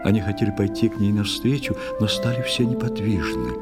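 A man narrating a poetic audio drama in verse with expressive, literary declamation. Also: fairly low pitch, fairly quick, over loud music.

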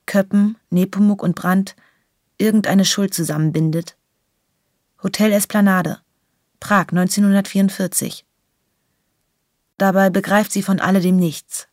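A woman's voice: medium pitch, fairly even intonation, measured pace, pauses often, darkish tone, dry recording, clean studio sound. A woman reading scripted literary narration in a radio play.

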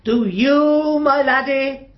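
A woman's voice: high voice, dull audio, heavy background noise. An actress playing an imperious, theatrical elderly upper-class English lady of the manor in a radio drama. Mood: rousing, declamatory. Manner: brisk, dismissive, declamatory.

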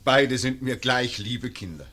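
A man's voice: mid-range voice, lively intonation, measured pace, sharp tone. An actor playing a proud marksman father in a classic radio play, earnest, humble and anguished. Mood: pleading. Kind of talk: classic radio drama acting.

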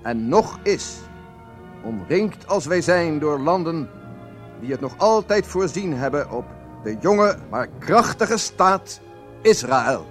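An actor playing a proud, solemn head of a secret service, narrating a radio drama in a formal, measured way. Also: male voice, fairly high pitch, big pitch swings, soft background music. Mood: proud, grateful. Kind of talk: scripted first-person radio drama narration.

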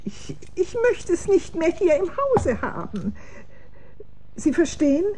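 Soft, dark-voiced fretful aunt. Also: female voice, extremely high pitch, very animated, takes it slowly, a little hiss or hum, full character voice. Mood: nervous confession. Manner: nervous, hesitant. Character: fretful, respectable middle-class aunt. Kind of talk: radio drama acting.

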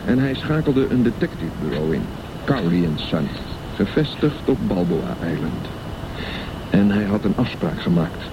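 A man narrating a scripted radio drama story in a measured, literary way. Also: on the low side, big pitch swings. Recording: dull sound with clear background noise.

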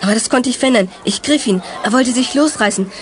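Woman as high-voiced tough teenage boy. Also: fast talker, a crowd in the background, background noise, natural voice. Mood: urgent. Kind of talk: children's radio play acting.

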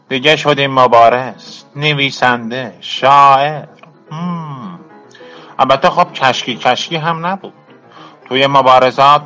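A man plays a world-weary, bitter former activist in a radio drama. His voice is forceful and bright, at an average pitch. He recounts his life in a colloquial, reflective way, over faint music. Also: close to an everyday voice. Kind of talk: Persian radio drama monologue.

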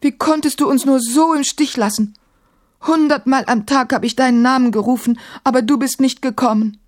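A woman's voice: mid-range voice, very animated, moderate pace, a little mellow, no room sound, clean studio sound, voice pushed up. A woman voicing a proud, scornful young male caliph in a storybook, with imperious, emphatic delivery. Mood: agitated, lamenting.

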